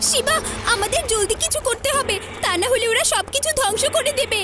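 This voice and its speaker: A woman voicing an excitable young child in a cartoon, with an animated, urgent manner. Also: very high voice, fairly quick. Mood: worried. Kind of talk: cartoon dub acting. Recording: very loud music under the voice.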